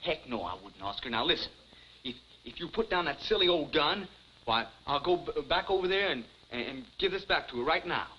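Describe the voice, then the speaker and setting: An earnest, flustered young American played by a man in old TV comedy style. His boyish voice is high and jumps about as he stammers, over a dull, slightly noisy 1950s soundtrack. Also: lively intonation, a little fast, close to an everyday voice. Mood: flustered, pleading. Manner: stammering, pleading.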